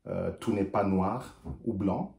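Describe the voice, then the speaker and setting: Man, mellow dark voice, echoey office, halting then emphatic. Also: deep voice, vocal fry. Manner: earnest, halting, many hesitations and repetitions; rises to emphatic rhetorical refrains. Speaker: political commentator addressing followers. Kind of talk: spontaneous video message. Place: office during a break.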